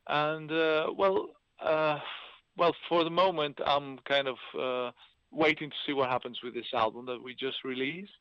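A man's voice: a little high, steady tone, measured pace, smooth, no echo. Hesitant man, dull dry line. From Greece. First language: Italian. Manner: hesitant, many fillers and restarts. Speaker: musician guest. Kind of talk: interview over a call.

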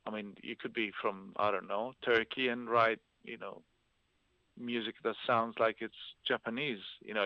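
A man's voice: medium pitch, no room sound. A man from Greece, Italian as first language, talking hesitantly with many fillers as a musician guest in an interview. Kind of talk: interview over a call. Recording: dull, dry line.

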